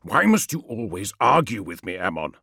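A man's voice: fairly low pitch, big pitch swings, moderate pace, gravelly, sharp tone, breathy edge, no echo, clean studio sound, voice pushed down, heavily acted. A man voicing a towering, wrathful demigod warlord with a fracturing mind, in imperious and anguished declamation for an audiobook. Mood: imperious fury.

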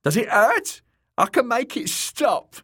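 A man voicing a crowing, bawdy, cruel mad jester with a shrill voice and a gleeful, taunting manner. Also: huge pitch swings, slow pace, sharp tone, breathy edge, full character voice. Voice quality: shrill, braying.

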